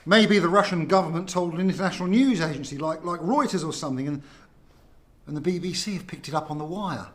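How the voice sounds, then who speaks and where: A man plays a mild-tempered minister in a political radio drama. His voice is slightly high, with a hesitant, wry way of talking. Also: fast talker, lightly acted. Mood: wry, musing.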